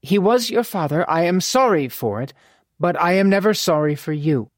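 A fond, wry older madame from a storybook, as an audiobook narrator puts her on. She is a formal, imperious woman with crisp, teasing phrasing. The voice sits well above the reader's own, in a dry, close recording. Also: fairly low pitch, animated, moderate pace, dry recording, pitched above the performer's own voice, close to an everyday voice. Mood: gentle, composed. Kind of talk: audiobook character dialogue.

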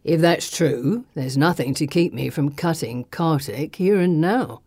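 A woman's voice: sits low, a little slow, mellow, husky, no room sound, pitched below the performer's own voice, heavily acted. A woman narrator voicing a menacing male villain who taunts and threatens with a drawling sneer, in an audiobook. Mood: cruel gloating. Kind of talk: audiobook character voice.

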